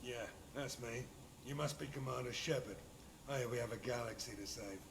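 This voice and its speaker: An actor playing a hard-bitten mercenary bounty hunter in a video game, gruff, blunt and unbothered. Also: male voice, medium pitch, brisk, lightly acted. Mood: gruff, businesslike. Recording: echoey space with noisy ambience.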